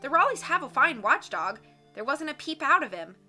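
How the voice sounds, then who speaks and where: A nervous, giggly young woman, read by a woman, fretful and chatty, in a storybook reading. Her voice sits fairly high and bright. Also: big pitch swings, moderate pace, soft background music, heavily acted. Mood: informative.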